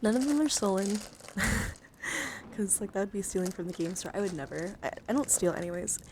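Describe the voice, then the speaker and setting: A woman livestreamer chatting casually in an ASMR style, halting and rambling as she thinks aloud. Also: mid-range voice, background noise. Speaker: livestreamer showing a dice collection. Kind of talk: casual ASMR chat to viewers.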